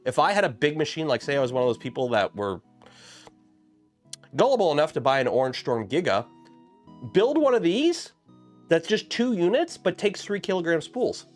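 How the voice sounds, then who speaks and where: A man's voice: a little high, sing-song, normal speed, long pauses, soft background music. A man hosting a casual livestream, chatting in a rambling way with many fillers and restarts while losing his voice. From Canada. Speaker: livestream host building a 3D printer kit.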